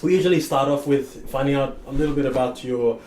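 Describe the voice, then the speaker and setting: A man's voice: medium pitch, quick pace, reverberant, a little hiss or hum. A man from Papua New Guinea hosting a podcast, chatting casually with lots of fillers and easy laughter. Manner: relaxed, laughs often, many fillers. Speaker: podcast host. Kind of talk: casual interview chat.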